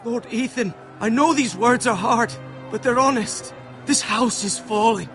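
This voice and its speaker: A man's voice: extremely high pitch, a little slow, bright, forceful tone, soft background music, exaggerated voice. A man playing a desperate, defiant poor soldier in a fantasy video game, pleading and indignant in a British accent. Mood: bitter, indignant.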